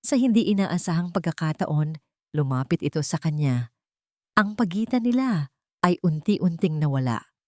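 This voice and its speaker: A woman's voice: sits low, lively intonation, normal speed, a little mellow, no room sound, clean studio sound. A woman storyteller narrating a scripted romantic story.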